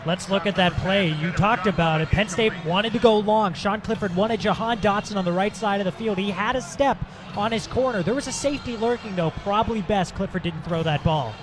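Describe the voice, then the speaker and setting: Higher-voiced American man giving football analysis. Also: a little fast, noisy background. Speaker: color commentator. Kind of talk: live football analysis between plays.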